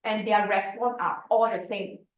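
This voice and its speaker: Woman, Malaysian Chinese accent, deliberate storytelling, echoey hall, distant mic. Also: medium pitch, steady tone. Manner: slow, deliberate storytelling with acted quotes. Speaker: club speaker. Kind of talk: prepared personal speech. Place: meeting room.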